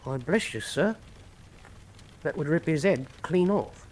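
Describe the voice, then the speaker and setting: Obliging, slow-spoken hangman. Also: on the high side, animated, a little mellow. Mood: matter-of-fact. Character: obliging, matter-of-fact male hangman with grim expertise. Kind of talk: full-cast radio drama acting.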